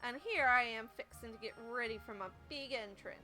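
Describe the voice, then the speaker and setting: A woman's voice: on the high side, brisk, music in the background, lightly acted. A woman voicing a brash young Southern cowgirl actress with a put-on folksy drawl. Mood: flustered.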